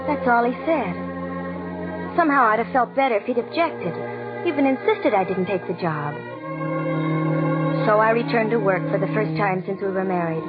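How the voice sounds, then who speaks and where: A woman's voice: mid-range voice, normal speed, long pauses, close to an everyday voice. An actress playing a regretful, tender young American wife and mother, earnest and emotive in 1940s radio drama style. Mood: wistful. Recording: dull old radio broadcast, loud music underneath.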